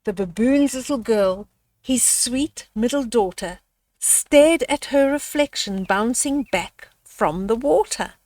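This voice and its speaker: A woman reading a bedtime story aloud to children, speaking deliberately and adding little asides for young listeners. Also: fairly high pitch, lively intonation, a little mellow, distant mic.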